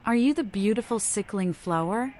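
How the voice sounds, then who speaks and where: A woman's voice: medium pitch, expressive, normal speed, a little mellow, lightly acted. Woman as cryptic, theatrical mad vampire woman. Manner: riddling, poetic, theatrical. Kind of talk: video game mod voice acting.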